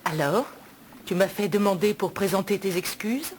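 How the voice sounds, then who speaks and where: An actress playing a proud, defiant young princess in a dubbed animated fantasy film, dignified and firm. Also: female voice, mid-range voice, normal speed, slight background noise, lightly acted. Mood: haughty, indignant. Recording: loud music under the dialogue.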